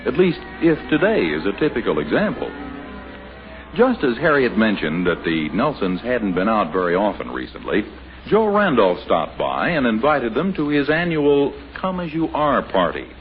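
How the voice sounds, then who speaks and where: An American actor serving as a wry, conversational announcer-narrator in a scripted 1950s radio sitcom. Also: male voice, mid-range voice, wildly swooping pitch, normal speed, soft background music. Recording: muffled vintage radio recording.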